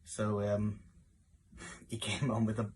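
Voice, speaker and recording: A man's voice: on the low side, monotone, slow pace, darkish tone, husky. A man who performs in pantomime, telling a casual anecdote.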